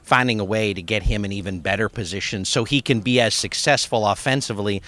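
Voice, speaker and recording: A man's voice: on the low side, fast, fairly bright tone. A man hosting a conversational sports interview, asking long, rambling questions. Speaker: sports information director hosting a preview chat.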